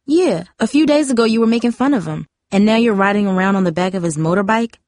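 A woman's voice: mid-range voice, expressive, fast talker, a little mellow, no echo, studio quality, natural voice. A woman voicing a hot-tempered, defensive teenage girl in an audiobook, emphatic and indignant. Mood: angry.

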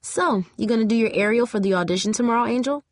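A woman's voice: a little high, fast, no echo, clean studio sound, voice pushed up, natural voice. A woman voicing a bold, defiant teenage cheerleader in a story, outspoken and challenging. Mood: bold, friendly.

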